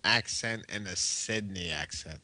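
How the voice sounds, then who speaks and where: Bright, forceful New York man. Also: fairly low pitch, a little slow, no echo. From US East Coast. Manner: emphatic, playful. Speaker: host interviewing a guest. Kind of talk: casual banter.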